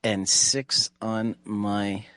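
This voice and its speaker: A man chatting casually as a player in a tabletop game, halting and repeating his words. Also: lowish voice, flat delivery, bright, forceful tone, clear, resonant tone.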